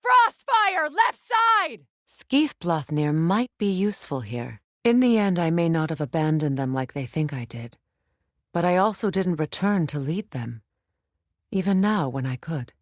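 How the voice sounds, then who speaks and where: A woman's voice: fairly low pitch, very animated, a little slow, no room sound. A warrior goddess from a fantasy video game, grave and composed. Her lines are formal and earnest, delivered as dramatic dialogue in a close, dry recording. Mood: urgent battle shouts, then reflective, solemn. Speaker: video game character voice actress.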